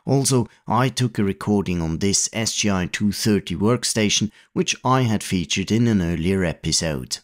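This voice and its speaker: A man with a somewhat low voice talks through a technical walkthrough in an even, methodical way. He sounds like a hobby channel host reading a prepared voice-over. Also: normal speed, hardly any pauses. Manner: calm, methodical explaining. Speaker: retro computing video host. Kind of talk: scripted tutorial voice-over.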